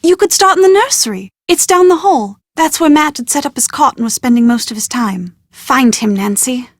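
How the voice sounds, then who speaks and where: Prim, high-voiced young British bride-to-be. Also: female voice, animated, normal speed, dry recording, studio quality. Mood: brisk, requesting. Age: young adult. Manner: prim, articulate, genteel phrasing. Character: well-bred young bride-to-be, proud, exasperated yet confident. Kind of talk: scripted video game dialogue.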